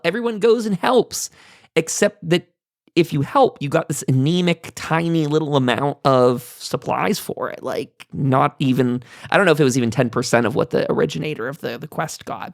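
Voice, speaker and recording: A man's voice: fairly high pitch, creaky, dry recording. Chatty man hosting, close dry sound. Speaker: podcast host. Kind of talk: casual roundtable commentary, reading patch notes aloud.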